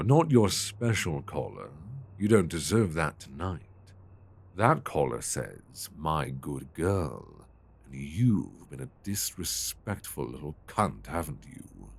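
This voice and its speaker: A British man playing a stern, possessive, dominant lover in an intimate ASMR roleplay, speaking deliberately and commandingly. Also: a bass voice, vocal fry, full character voice. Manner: slow, deliberate, commanding with soft endearments.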